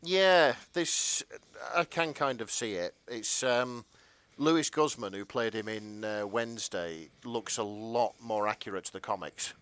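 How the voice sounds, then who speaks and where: A man co-hosting a podcast, chatting casually in a slightly halting, hesitant way. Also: lowish voice, fairly bright tone, smooth, no room sound. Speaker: podcast co-host. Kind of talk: casual chat.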